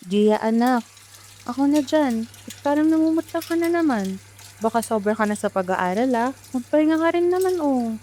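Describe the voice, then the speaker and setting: A woman's voice: sits high, animated, moderate pace, dark tone, slight background noise. A woman with a Filipino accent playing a worried, nagging mother in an amateur radio drama. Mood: fussing concern. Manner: scolding, exclamatory. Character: worried, nagging, quick-to-scold mother of grown children.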